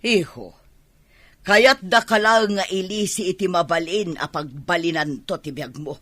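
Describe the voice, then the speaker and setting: A woman playing an anxious middle-aged mother and wife with a husky voice, in an Ilocano radio drama. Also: lowish voice, steady tone, unhurried, fairly bright tone, no echo. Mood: pleading.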